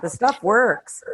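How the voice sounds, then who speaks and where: A woman's voice: fairly high pitch, fairly bright tone, husky. A middle-aged woman chatting informally about a film as a podcast co-host, given to anecdotes. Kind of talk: casual film discussion.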